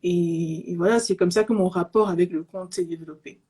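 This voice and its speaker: A woman from Cameroon, raised in Zimbabwe in an English-speaking home, speaking softly and hesitantly with many fillers as an interview guest over a call microphone. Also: fairly low pitch, steady tone, a little fast, husky. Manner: soft-spoken, hesitant with many fillers and restarts. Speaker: guest, pastry entrepreneur. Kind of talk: casual online interview. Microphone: remote call microphone.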